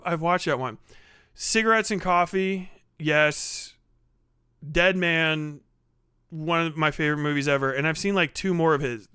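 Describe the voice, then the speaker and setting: Male streamer, high clear voice, sweary off-the-cuff rambling, very dry close sound. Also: dry recording. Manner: sweary, rambling, thinks out loud. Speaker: live streamer reacting to videos. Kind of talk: profane casual livestream commentary.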